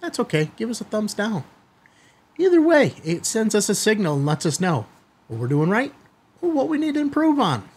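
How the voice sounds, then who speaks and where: Middle aged guy hosting a gear demo, bit raspy, voice on the higher side. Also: huge pitch swings, normal speed. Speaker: host of a ham radio video channel. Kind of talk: product test demo to camera. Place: small indoor studio room.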